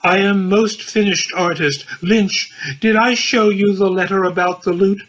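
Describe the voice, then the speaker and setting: Older man acting brooding young intellectual, tipsy, high husky voice, slow self-musing, literary drama, lo-fi. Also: steady tone, fairly bright tone. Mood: wry musing. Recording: dull lo-fi home recording.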